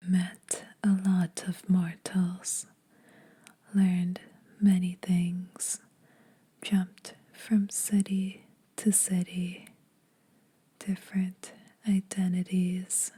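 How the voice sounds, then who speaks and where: A woman playing a teasing, secretly kind vampire in an intimate, breathy whispered ASMR roleplay. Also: lowish voice, completely flat delivery, mellow, exaggerated voice. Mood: playful, reassuring. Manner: slow, intimate, dryly teasing. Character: teasing, lonely female vampire, ageless, secretly kind to mortals.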